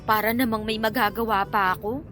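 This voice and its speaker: A feisty young Filipino woman, played by an actress in a radio romance drama. Her voice is high and sharp, sassy and sarcastic as she bickers. Soft music plays behind her. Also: fairly even intonation, a little fast, natural voice. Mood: exasperated. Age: young adult. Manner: sassy, sarcastic, whiny banter.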